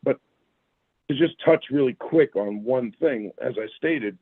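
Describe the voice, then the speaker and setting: Raspy-throated man on a tinny phone line. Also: medium pitch, unhurried, no echo. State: sore throat. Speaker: guest, retired army sergeant. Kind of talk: casual interview talk.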